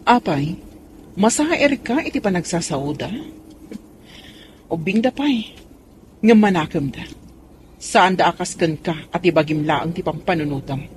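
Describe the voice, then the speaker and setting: Expressive Filipino mother, played by a woman, hurt and protective, radio drama, clear background noise. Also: fairly low pitch, unhurried, long pauses. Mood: hurt, reproachful.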